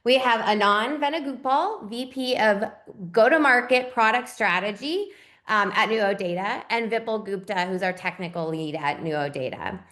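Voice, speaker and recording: A woman moderating a business webinar who introduces the speakers in a loud, upbeat way. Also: medium pitch, normal speed. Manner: loud, upbeat hosting delivery.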